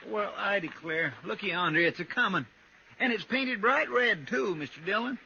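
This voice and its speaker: An actor playing a countrified, loyal, grumbling frontier deputy in a 1950s radio western, plaintive and deferential. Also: male voice, sits high, lively intonation, moderate pace, vocal fry. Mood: folksy. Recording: dull, hissy 1950s radio recording.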